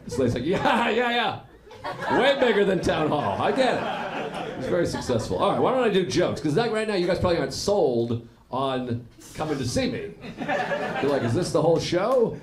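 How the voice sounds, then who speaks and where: Male comic, teasing crowd work, over a loud laughing audience. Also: fairly high pitch, moderate pace. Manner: teasing, animated, laughing through his lines. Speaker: stand-up comedian. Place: comedy club stage with a live audience. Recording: echoey club with loud crowd noise.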